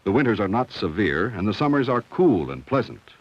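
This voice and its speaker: Low-pitched male narrator, vintage school film. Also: very animated. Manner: measured, instructional, clearly enunciated. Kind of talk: scripted documentary narration, 1970 school film. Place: studio voice-over. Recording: dull, dry vintage film soundtrack.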